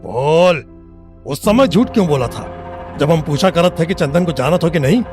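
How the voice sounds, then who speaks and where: An actor playing an enraged, abusive older husband, suspicious and crude, speaking with a rustic eastern Hindi heartland accent in an audio drama. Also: male voice, on the high side, lively intonation, moderate pace, music in the background, close to an everyday voice. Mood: furious, threatening. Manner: rustic, menacing, colloquial.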